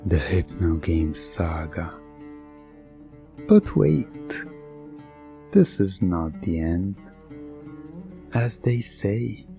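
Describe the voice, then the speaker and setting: A man's voice: fairly low pitch, creaky, warm tone. A man hosting as a storyteller, musing dramatically and addressing the listener directly. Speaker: storyteller host. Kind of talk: theatrical storyteller sign-off.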